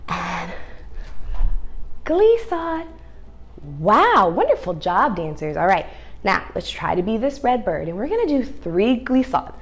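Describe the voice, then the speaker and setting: A woman's voice: medium pitch, sing-song, a little slow, pauses often. A woman teaching young children through read-aloud and ballet, speaking in an encouraging, sing-song way with simple instructions and questions.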